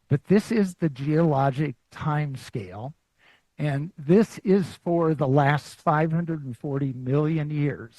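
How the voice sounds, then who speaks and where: A man gives a public science talk with slides, speaking slowly and drifting through fillers and restarts. His voice is a little husky, and he pokes fun at himself now and then. The recording is dry and close, with almost no room sound. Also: a little high, no room sound, rough recording. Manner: relaxed, rambling, many fillers and restarts; self-deprecating. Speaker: guest lecturer.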